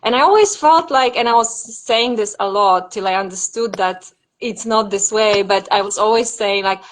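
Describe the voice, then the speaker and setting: A woman's voice: mid-range voice, moderate pace, a little hiss or hum. A young woman, not an English speaker, telling her personal story in a casual interview, reflective and full of fillers and restarts. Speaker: dreamwork and yoga nidra teacher.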